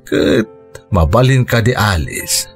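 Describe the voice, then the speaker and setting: A man playing a gentle, forgiving middle-aged father with a husky voice, acted for Ilocano radio drama with a Filipino accent. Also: lowish voice, animated, slow, faint music behind, full character voice. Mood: resigned, soothing.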